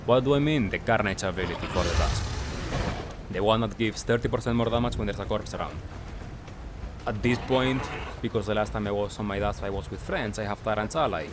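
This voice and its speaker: Low-voiced man commentating, noisy recording. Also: a little fast. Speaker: gaming video creator. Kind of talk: casual recap commentary over gameplay.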